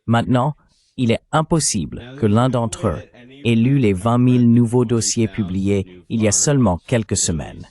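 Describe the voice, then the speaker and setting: A man with a slightly low voice works as an interviewer. He reports and asks questions in a journalistic manner, in a translated voice-over. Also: a little mellow. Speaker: interviewer, host.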